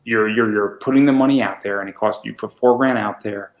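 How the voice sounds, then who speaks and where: A man's voice: lowish voice, fairly even intonation, brisk, narrow, tinny audio. A man in his twenties talking casually as a podcaster, often stammering and repeating words as he starts sentences. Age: 20s. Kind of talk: casual conversational interview. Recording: roomy, echoey sound.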